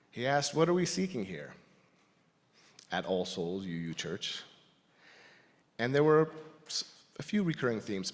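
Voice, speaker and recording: A man giving a personal, reflective talk to a congregation as a lay speaker, in a thoughtful, halting manner. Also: lowish voice. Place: large indoor church space. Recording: reverberant hall sound.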